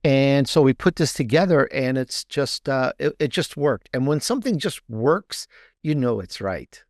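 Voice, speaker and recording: Male interview guest, mid-pitched, conversational and halting, rephrases as he goes, close dry recording. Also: animated, dry recording. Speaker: podcast guest, author and podcaster.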